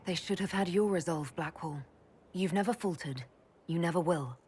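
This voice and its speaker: An actress playing a young, devoted female elf warrior in a video game, sincere and soft-spoken. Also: on the low side, normal speed, slight background noise, natural voice. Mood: reassuring.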